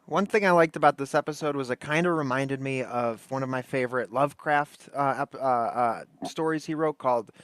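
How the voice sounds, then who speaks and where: A man's voice: medium pitch, a little fast, hardly any pauses, fairly bright tone, faint music behind. A man hosting a livestream, chatting casually in a laid-back, rambling, slangy way. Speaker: livestream host. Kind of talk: casual chat commentary.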